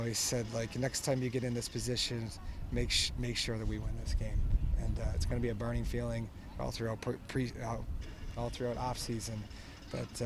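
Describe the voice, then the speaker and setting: Man in his thirties, soccer coach, postgame interview on a field at night, earnest and halting, full of fillers, faint outdoor noise. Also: mid-range voice. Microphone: handheld microphones held close.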